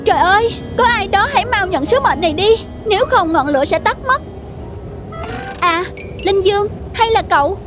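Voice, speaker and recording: A woman's voice: very high-pitched, expressive, normal speed, over music. A woman voicing a small, anxious, selfless cartoon animal, pleading urgently and exclaiming. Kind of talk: cartoon character acting.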